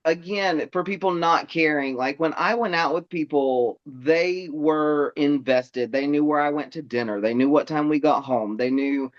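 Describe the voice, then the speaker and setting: Thirties man, storyteller guest, higher voice, muffled lo-fi sound. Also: unhurried, hardly any pauses. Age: 30s. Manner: animated, laughs often, mimics voices in quotes. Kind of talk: casual storytelling chat.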